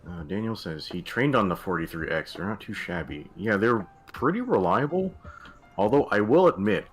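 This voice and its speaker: A man's voice: low-pitched, a bit bright. A man streaming a casual hobby session, talking loosely to his chat with lots of fillers. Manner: laid-back, rambling with many fillers.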